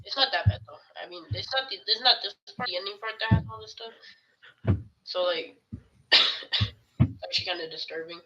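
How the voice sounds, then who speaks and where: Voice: mid-range voice, moderate pace, long pauses, sharp tone, muffled recording. A person giving casual, off-the-cuff reaction commentary as host of a video.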